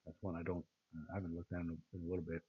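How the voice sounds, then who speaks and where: A man with a rather low, raspy and tired voice talks through price charts in a loose, rambling way, full of "uh"s and restarts. The sound is thin and tinny, like a phone line. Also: completely flat delivery, rapid-fire. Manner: rambling, many fillers and restarts. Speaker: crypto chart analyst. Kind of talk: casual screen-share market analysis.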